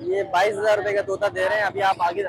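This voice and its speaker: Young male vlogger, high voice, phone held at arm's length outdoors, faint street noise behind. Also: little pitch movement, fast talker. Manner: chatty, asks sellers prices. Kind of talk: casual walking vlog. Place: crowded outdoor bird market. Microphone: handheld phone at arm's length.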